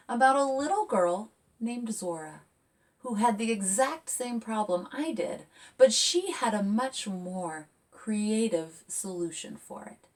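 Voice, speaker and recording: A woman children's author talking and reading a picture book aloud for young listeners in an expressive, engaging way, as if asking them along. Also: medium pitch, recorded from a distance.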